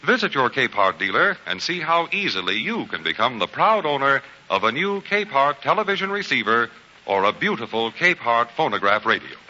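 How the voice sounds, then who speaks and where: A man's voice: mid-range voice, very animated, a little fast, bright, forceful tone, muffled recording, slight background noise. A man with an American accent making formal, emphatic announcements and scripted ad reads in 1940s radio style. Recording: band-limited 1940s radio recording.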